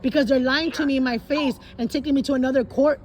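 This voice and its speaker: A woman's voice: high-pitched, fairly even intonation, fast. A woman in a heated phone conversation, insistent and emphatic, often repeating herself for weight. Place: at a public library. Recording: faint background noise.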